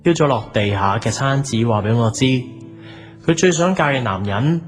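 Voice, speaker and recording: A man playing a hapless, defensive young cafe owner in an audio drama, speaking Cantonese with a Hong Kong accent in an expressive, exasperated way. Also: mid-range voice, normal speed, close to an everyday voice. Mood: dejected, reflective. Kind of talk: audio drama with introspective narration and dialogue. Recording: music behind the voice.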